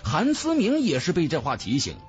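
A man narrating a fantasy novel in a dramatic, expressive storytelling manner. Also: on the high side, normal speed, highs cut off. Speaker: audiobook narrator. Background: background music.

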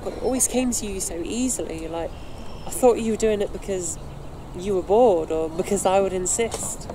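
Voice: medium pitch, moderate pace, close to an everyday voice. A young performer acting a bitter, wounded musician in a live play, speaking with reproachful, emphatic repetition. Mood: frustrated, bitter. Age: young adult. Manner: emphatic, reproachful, repeating phrases. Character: bitter, wounded young musician confronting an old best friend. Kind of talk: live theatre dialogue. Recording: noisy outdoor location recording.

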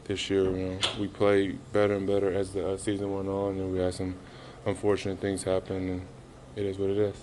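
A man in his thirties answering reporters after a game, halting and subdued, with many fillers. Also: low-pitched, completely flat delivery, noisy background. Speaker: basketball player at a postgame press conference. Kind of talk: postgame press interview. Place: arena press room, indoors. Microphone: reporters' handheld mics held close.

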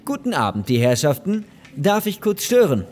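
An actor playing a polite, slightly awkward lawyer in an audio drama, speaking in a courteous, formal, hesitant way. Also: male voice, fairly high pitch, sing-song, moderate pace, darkish tone.

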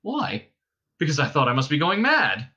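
Man playing a high-pitched, fretful Englishman. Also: huge pitch swings, moderate pace, sharp tone, lo-fi sound, exaggerated voice. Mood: bewildered. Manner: exclaiming, anxious, querulous. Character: bewildered, fretful Englishman, polite but easily panicked.

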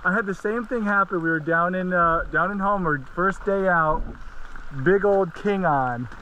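A man's voice: high voice, moderate pace, pushed and bright, slight background noise, low-quality recording. A middle-aged man chatting as a guest while fishing outdoors, joking at his own expense. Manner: joking, self-deprecating. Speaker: guest angler. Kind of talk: casual chat while fishing. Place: riverbank outdoors in rain.

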